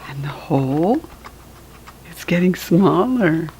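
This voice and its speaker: Slow-paced American woman reading to children. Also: fairly low pitch, expressive, mellow, slight background noise, low-quality recording. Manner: simple, instructive, addressing small children; acts out animal sounds while reading. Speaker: children's book reader. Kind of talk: read-aloud storytelling for young children with asides.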